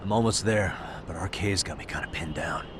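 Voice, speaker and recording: An actor playing a cool-headed, wry private detective in an English video game dub, speaking in a casual, understated way. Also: male voice, deep voice, a little fast, noisy background, lightly acted. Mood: focused, casual.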